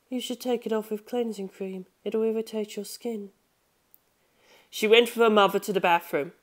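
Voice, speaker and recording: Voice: mid-range voice, a bit bright. A narrator reading a novel aloud as scripted audiobook narration.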